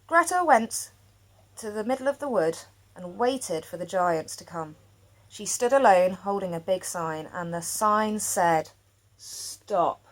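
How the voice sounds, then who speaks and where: A woman storyteller reading a children's picture book aloud expressively, with dramatic pauses. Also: medium pitch, lively intonation.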